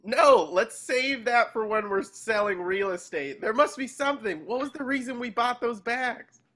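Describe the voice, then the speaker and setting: A man's voice: extremely high pitch, moderate pace, bright, forceful tone, full character voice. A man voicing an earnest, eager, boyish cartoon sea sponge in a table read, coaxing as he talks.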